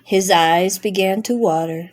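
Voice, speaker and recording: A middle-aged or older woman, a grandmother, narrating a children's storybook aloud with simple, expressive phrasing. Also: mid-range voice, unhurried. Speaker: grandmother reading a bedtime story.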